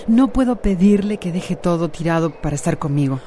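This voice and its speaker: A woman's voice: fairly low pitch, lively intonation, a little fast, dark tone, slight background noise, natural voice. An actress playing a troubled, resigned pregnant woman in a radio soap opera. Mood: sad, resigned.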